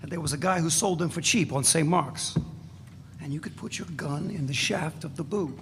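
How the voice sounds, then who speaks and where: A man's voice: fairly high pitch, normal speed, lightly acted. A man playing a swaggering, unrepentant ex-radical reminiscing bluntly and profanely in a dramatic reading. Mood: swaggering nostalgia. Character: swaggering unrepentant male ex-radical reminiscing about guns and boots. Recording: noisy live reading in reverberant hall.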